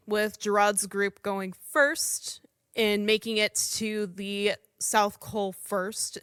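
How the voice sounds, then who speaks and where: A woman's voice: mid-range voice, a bit bright, clean tone, dry recording, clean studio sound. A woman hosting a podcast, telling a true story in a casual, conversational way and stopping now and then to apologize for how she says names. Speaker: podcast host.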